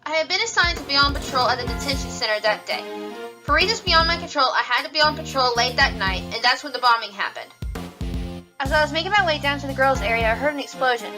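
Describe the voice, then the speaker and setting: Woman acting as rabbit policewoman, high-pitched, assertive, earnest, formal, music behind, radio play. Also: expressive, quick pace. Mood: earnest testimony. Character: young female rabbit police officer, brisk and earnest. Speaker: voice actor in a fan audio drama. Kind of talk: courtroom audio drama acting.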